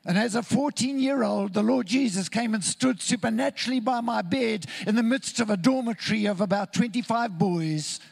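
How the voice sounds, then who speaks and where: A man from Zimbabwe, preaching a sermon in an earnest, deliberate way with emphatic repetition. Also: high voice, vocal fry.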